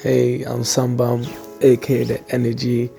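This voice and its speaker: Gravelly upbeat man from Ghana. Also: medium pitch, completely flat delivery, unhurried, mellow, soft background music. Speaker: host and hype man.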